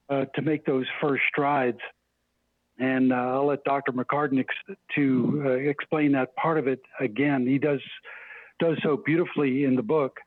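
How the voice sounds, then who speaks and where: An older man, an interview guest, recalling his experiences in a halting, deliberate way over a remote call. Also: mid-range voice, no echo. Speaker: interview guest, former union spokesperson. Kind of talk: reflective personal recollection in interview. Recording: thin phone-like line, dry.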